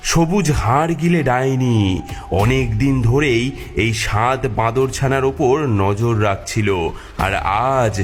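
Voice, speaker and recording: A man's voice: mid-range voice, slow, faint music behind. A man narrating a scripted cartoon fairy tale as a dramatic storyteller.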